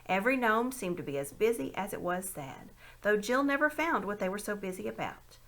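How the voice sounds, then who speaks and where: A woman narrates a storybook with an animated delivery. Her mid-pitched voice moves freely up and down as she reads each scene. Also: brisk. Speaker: amateur audiobook reader. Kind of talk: expressive storybook read-aloud narration.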